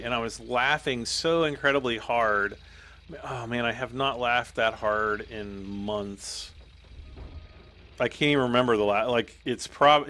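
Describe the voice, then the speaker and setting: A man with a hoarse, slightly worn voice talks casually over his gameplay at a medium pitch. He rambles loosely and keeps clearing his throat between thoughts. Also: bright, forceful tone. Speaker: gaming YouTuber.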